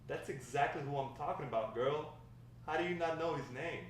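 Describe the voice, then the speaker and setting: A man's voice: fairly high pitch, fairly quick, natural voice. Man playing brash grandpa in an echoey room. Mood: brash, cheeky. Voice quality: slangy, swaggering talk. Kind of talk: amateur screenplay table read. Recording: echoey room, distant mic, faint noise.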